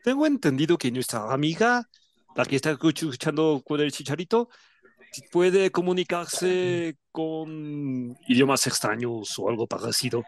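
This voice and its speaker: A man's voice: on the high side, lively intonation, unhurried, dry recording, pitched above the performer's own voice. A man voicing a cocky male agent who mockingly teases his teammates in an audio drama. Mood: teasing. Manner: mocking, teasing.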